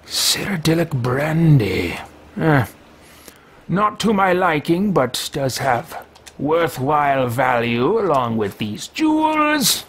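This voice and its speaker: A man in his thirties playing a haughty, greedy mage who mutters to himself in a pompous, drawn-out way, recorded at home. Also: fairly high pitch, big pitch swings, fairly bright tone, husky, full character voice. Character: haughty male mage-thief, self-talking, greedy. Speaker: let's play host. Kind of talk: in-character game roleplay. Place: home room with bookshelves.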